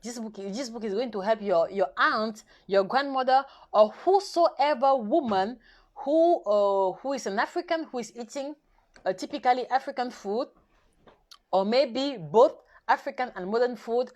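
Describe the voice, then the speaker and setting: A woman's voice: on the high side, darkish tone. French-speaking lady coaching live in shaky english, lots of uh and restarts, talks right at the viewers. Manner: halting, self-correcting English with many restarts and fillers; coaxing, appeals directly to viewers. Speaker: nutrition coach hosting a live session. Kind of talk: live stream chat to viewers.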